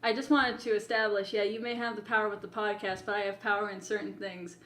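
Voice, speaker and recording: A woman's voice: medium pitch, flat delivery, fast, a bit bright. A woman co-hosting a casual podcast, telling stories in a playful, teasing way, peppered with "like". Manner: playful, teasing, often says "like". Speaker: podcast co-host. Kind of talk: casual chat, animated storytelling.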